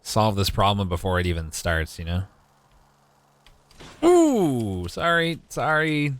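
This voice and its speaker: A man in his twenties with a deep voice gives casual, wry live gaming commentary and talks back to his chat. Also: big pitch swings, slow, a bit bright, dry recording. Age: 20s. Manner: wry, joking, mild swearing, talks to chat. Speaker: game streamer.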